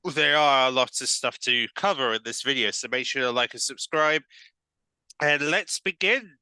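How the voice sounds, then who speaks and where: A man's voice: mid-range voice, normal speed, pushed and bright, smooth, no room sound. Rambling man with a cold. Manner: rambling with many fillers and restarts. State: has a cold. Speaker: gaming news host. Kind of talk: casual gaming news commentary.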